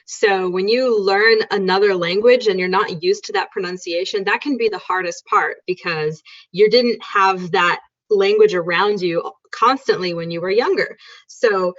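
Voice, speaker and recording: Woman, teacher, relaxed and explanatory, medium pitch, dull lo-fi recording. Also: moderate pace. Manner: chatty, explains with examples. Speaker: English teacher. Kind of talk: casual educational chat. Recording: dull lo-fi sound.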